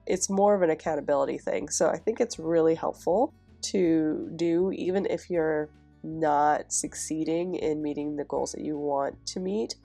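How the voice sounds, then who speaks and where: Low, gravelly stuffy-nosed woman vlogger. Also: normal speed, soft background music. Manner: chatty, relaxed, with soft laughs. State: stuffy, nasal from a cold. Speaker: planner vlogger. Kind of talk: casual voice-over while decorating a planner.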